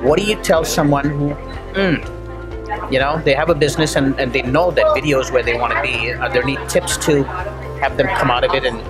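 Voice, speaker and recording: A chatty man interviews his guest in an effusive, jokey way. His voice sits at an ordinary middle pitch. Clear music plays underneath the talk. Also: brisk, low-quality recording. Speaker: interviewer and marketer. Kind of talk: casual interview chat. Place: indoors on a couch. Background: background music.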